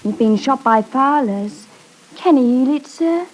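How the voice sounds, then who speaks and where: A woman's voice: sits high, expressive, unhurried, darkish tone, poor audio. A woman playing a shy, earnest young country girl with a rural English accent and deferential manner in a 1950s radio drama. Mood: anxious, timid. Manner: timid, plain-spoken, deferential. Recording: 1950s radio broadcast recording.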